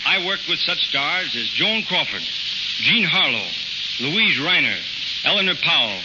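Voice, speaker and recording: Guy trainer reading advice on old radio, highish voice, really hissy. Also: big pitch swings, measured pace. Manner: formal, read from script. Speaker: fitness trainer, guest speaker. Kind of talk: scripted 1930s radio talk. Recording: dull, very hissy 1930s radio recording.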